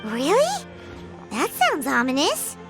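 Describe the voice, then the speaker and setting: A tiny sprite companion in a video game, extremely high and childlike. The delivery is perky and inquisitive, swooping wildly up and down. Music is clearly heard behind the voice. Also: female voice, huge pitch swings, slow, heavily acted. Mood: surprised. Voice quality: squeaky, childlike. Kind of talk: cartoonish video game dialogue acting.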